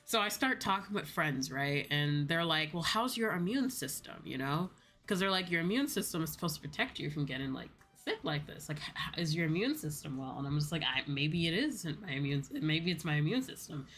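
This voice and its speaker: An American woman livestreamer rambling casually to her viewers in an animated storytelling way, acting out bits of dialogue. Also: sits low, a little fast. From United States. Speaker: VTuber livestreamer. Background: background music.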